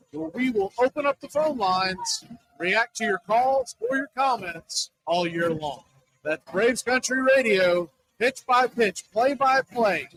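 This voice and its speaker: A man hosting sports radio, speaking in an emphatic, deliberately phrased announcer's style into a close microphone. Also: very high voice, a bit bright, slight background noise, poor audio. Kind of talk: radio promo and commentary.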